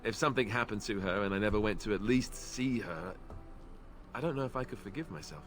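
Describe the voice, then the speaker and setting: A man's voice: fairly low pitch, a little fast, a bit bright, soft background music, close to an everyday voice. An actor playing an awkward, self-deprecating young male knight in a game, talking in a hesitant, rambling way. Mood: earnest. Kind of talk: game character dialogue.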